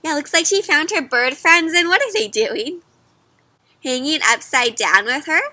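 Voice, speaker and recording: A woman reading a picture book aloud to children, expressively, pausing to ask the listeners questions. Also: high voice, animated, pushed and bright, dry recording. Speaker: read-aloud storyteller for children.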